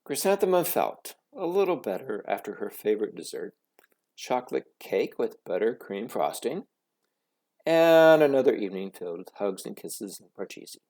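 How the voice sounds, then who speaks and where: A man reading a children's story aloud as a narrator, expressive, dramatic and theatrical. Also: mid-range voice, normal speed. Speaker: story reader.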